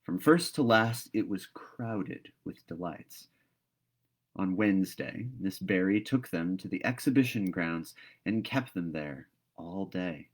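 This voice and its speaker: A man's voice: deep voice, expressive, takes it slowly. A man reading a classic storybook aloud as its narrator. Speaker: read-aloud host, narrator.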